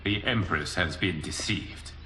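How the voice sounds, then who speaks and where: An actor playing a noble, godlike guardian lord in a video game, speaking in a measured, formal, solemn way. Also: male voice, deep voice, sharp tone, a little hiss or hum, poor audio. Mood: solemn, determined. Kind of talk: dramatic video game cutscene acting.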